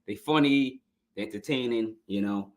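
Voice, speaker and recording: A man's voice: medium pitch, normal speed, darkish tone. A man hosting a podcast album review in a casual, hyped, slangy way, speaking straight to his viewers, his voice scratchy this morning. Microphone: live stream podcast mic.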